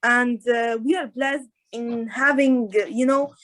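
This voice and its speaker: A woman, an orthodontist guest talking in a casual interview over a video call, with hesitant pauses and restarts. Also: fairly high pitch, fairly even intonation, a little hiss or hum. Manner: hesitant, many restarts and fillers. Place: in Dubai.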